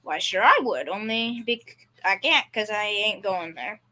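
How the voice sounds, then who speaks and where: A woman's voice: medium pitch, animated, a little slow, pushed and bright. A young female performer playing a blustering, secretly scared father with folksy, hesitant speech in a school old-time radio comedy. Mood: casual bravado. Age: young teen or child.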